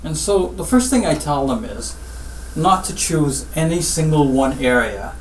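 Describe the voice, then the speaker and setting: A middle-aged man from Guyana, a surgeon giving advice in an interview in measured, deliberate short phrases with pauses. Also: fairly high pitch, animated. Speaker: spine surgeon, interview guest. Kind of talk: interview answers, health advice. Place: small office, seated at desk. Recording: roomy, distant mic, faint background noise.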